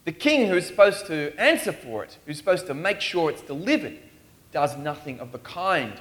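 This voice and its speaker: Man, preacher, sermon, earnest, pleading questions, slightly high-pitched voice. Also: big pitch swings, moderate pace, a bit bright. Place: church in Australia.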